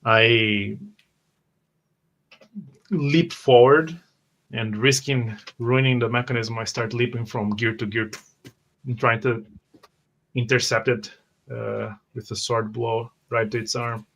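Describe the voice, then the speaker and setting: A man's voice: mid-range voice, steady tone, slow, gravelly, long pauses, fairly bright tone. A man playing a character in a tabletop roleplaying game, describing his actions in first person. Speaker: player.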